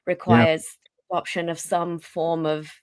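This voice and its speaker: A woman guest on a live online talk show, her voice fairly low for a woman and recorded dry and close. She talks in a friendly, rambling way, thinking aloud with plenty of fillers. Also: level tone, a little slow, smooth, no echo. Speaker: guest, YouTuber. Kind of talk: casual chat, interview answers.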